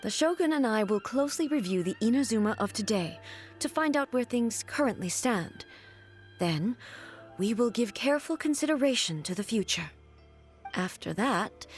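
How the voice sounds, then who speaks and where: A woman voicing a composed, regal goddess ruler in a video game, speaking in a formal, measured, dignified way. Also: fairly high pitch, lively intonation, faint music behind, lightly acted. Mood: calm regal.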